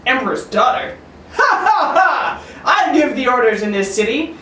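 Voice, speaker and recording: A woman's voice: high voice, big pitch swings, normal speed, sharp tone, echoey room, distant mic, some chatter behind, slight background noise, exaggerated voice. A woman voicing a boastful, commanding male king of a winged race, with a playful, mock-regal delivery in a comic table read of an old radio serial.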